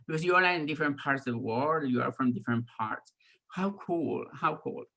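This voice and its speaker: A middle-aged woman from Argentina with an Argentine Spanish accent, giving a candid, profane talk to an online audience over a video call, with many fillers and pauses. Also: low-pitched, lively intonation, vocal fry, a bit bright. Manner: mocks others in raised voices when quoting them. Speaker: guest speaker.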